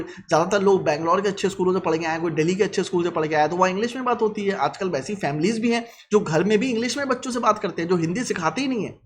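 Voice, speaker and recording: Blunt high-voiced man. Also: slight background noise. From Lucknow, India. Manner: blunt, lecturing, mixes English phrases into Hindi. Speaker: vlogger. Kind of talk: casual advice monologue.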